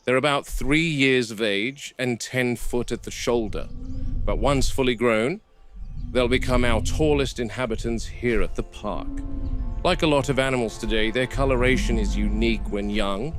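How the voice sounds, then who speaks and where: A man playing a serious, seasoned game warden who narrates a field log in a measured, matter-of-fact way. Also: mid-range voice, natural voice. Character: serious seasoned male game warden logging work with dinosaurs. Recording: noisy VHS-style field recording.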